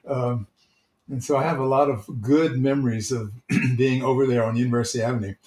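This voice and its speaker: A man who is a church elder, gently telling stories from his church life in casual, reflective talk. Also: medium pitch, steady tone, a little fast. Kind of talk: casual storytelling. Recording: roomy, echoey sound.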